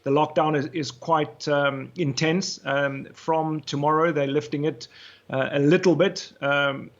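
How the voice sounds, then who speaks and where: A man's voice: on the high side, steady tone, unhurried, muffled recording. A man from South Africa guesting on a video call, talking casually with many hesitations. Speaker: guest wedding photographer. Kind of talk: casual interview chat.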